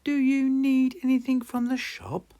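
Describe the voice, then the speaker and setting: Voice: sits high, level tone, unhurried, warm tone, pitched above the performer's own voice. Someone playing a doting old granny pig. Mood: kindly. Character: kindly old grandmother pig, doting and cheerful.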